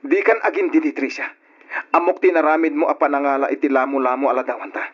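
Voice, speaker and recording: Male actor as betrayed young man, husky, Ilocano accent, reproachful, drawn-out emotional radio drama delivery, dull lo-fi recording. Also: fairly high pitch, steady tone, lightly acted. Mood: angry, accusing. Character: anguished young man, betrayed by his childhood friend.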